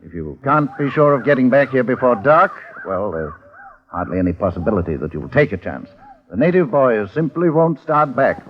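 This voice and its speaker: An actor playing a brisk, clipped male police constable at a jungle outpost in a 1950s radio drama. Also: medium pitch, very animated, lightly acted. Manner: brisk, clipped, slightly hesitant. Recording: lo-fi old radio broadcast.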